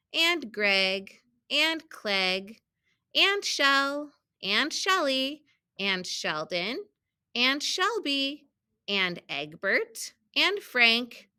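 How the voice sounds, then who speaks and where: A woman voicing an earnest, helpful, overburdened egg from a children's storybook, reading expressively and deliberately with emphatic exclamations. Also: fairly high pitch, pushed and bright, exaggerated voice. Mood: earnest, disapproving. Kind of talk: children's picture book storytelling.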